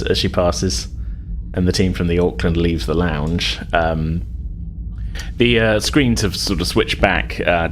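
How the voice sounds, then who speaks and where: A man's voice: deep voice, slight background noise. A man working as game master, narrating improvised scenes in a casual, loose conversational way.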